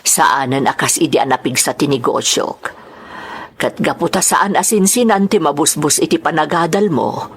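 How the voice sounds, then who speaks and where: Stern, stingy middle-aged aunt, played by a woman, rough husky tone, Ilocano accent, drama delivery. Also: low-pitched, moderate pace. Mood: stern, refusing. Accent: Filipino, Ilocano. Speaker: drama actress. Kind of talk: sentimental radio-style drama acting.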